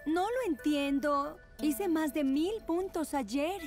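A woman's voice: high voice, slow pace. An actress voicing a naive, earnest teenage genius girl in a Spanish-language cartoon. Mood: bewildered. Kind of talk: animated cartoon dub acting. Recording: music behind the voice.

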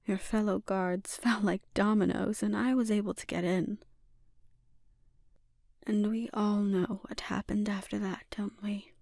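Woman doing a villain sorceress for asmr roleplay, slow n taunting, bit of fry, whispery and menacing. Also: medium pitch, dark tone, dry recording, studio quality. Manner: slow, deliberate, taunting, lapsing into breathy whispers. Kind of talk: ASMR roleplay, intimate scripted monologue.

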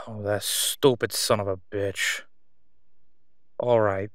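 A man's voice: fairly low pitch, steady tone, a bit bright, no echo. Man playing a sly, sarcastic crime boss. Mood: conspiratorial, smug. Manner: sarcastic, smooth-talking, drawn-out condescending delivery. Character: slick middle-aged male crime boss, mocking, sly, self-assured. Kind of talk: roleplay audio drama monologue.

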